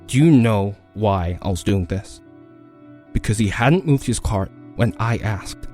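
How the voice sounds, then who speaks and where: A man's voice: on the low side, lively intonation, measured pace, a little mellow, faint music behind. A man performing a dramatic monologue as a hardened, menacing military veteran, controlled and intense. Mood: controlled menace.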